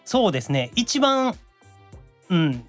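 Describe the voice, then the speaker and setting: A talkative man with a Kansai accent hosting a podcast in lively comedic banter, quick with teasing retorts. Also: sits high. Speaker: radio show host. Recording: studio voice over background music.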